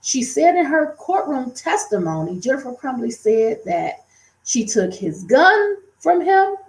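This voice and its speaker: A woman with a slightly high voice, talking in a loose, repetitive way to her online audience. Her voice sounds worn from a lingering cold. The sound is echoey, as if she is recording in a bare room. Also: sing-song, unhurried. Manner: chatty, repeats and restates, many fillers. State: recovering from a cold, voice strained. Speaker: true-crime commentator. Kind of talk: casual commentary to viewers.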